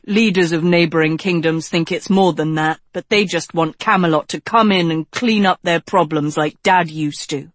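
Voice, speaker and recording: A woman's voice: lowish voice, moderate pace, few pauses, dry recording, close to an everyday voice. Young king, read by a woman: proud, blunt, defensive, scornful; fantasy audiobook, dry studio sound. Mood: dismissive, irritated.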